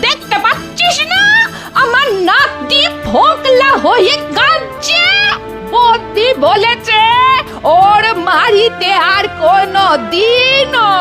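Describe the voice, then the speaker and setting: A woman voicing a bossy, threatening old grandmother in a children's cartoon, scolding with drawn-out words. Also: very high voice, lively intonation, heavily acted. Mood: scolding, demanding. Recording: music behind the voice.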